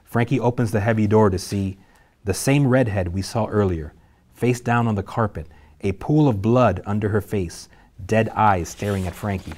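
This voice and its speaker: A man reading a screenplay's stage directions aloud at a table reading, steady and vivid. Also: fairly low pitch, moderate pace.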